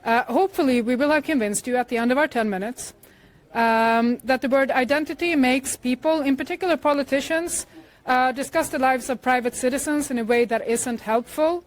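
A woman from Sweden speaks at a conference, slightly higher-pitched than most, explaining in a measured way with plenty of "uh"s. She talks into a handheld mic in a conference room. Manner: measured, explanatory, with frequent "uh" fillers. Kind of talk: conference talk. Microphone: handheld microphone shared with co-speaker.

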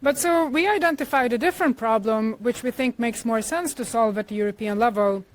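A woman from Sweden giving a conference talk into a handheld microphone, explaining in a measured way with frequent filler sounds. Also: a little high. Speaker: conference speaker. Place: conference room. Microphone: handheld microphone shared with co-speaker.

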